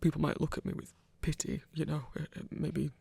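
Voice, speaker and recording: A woman playing an awkward, self-conscious werewolf hunter in an ASMR romance, stammering in a husky, breathy voice. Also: deep voice, level tone, fairly quick, dark tone, dry recording, exaggerated voice. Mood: flustered, touched. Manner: flustered, stammering, self-deprecating. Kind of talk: intimate ASMR romance roleplay.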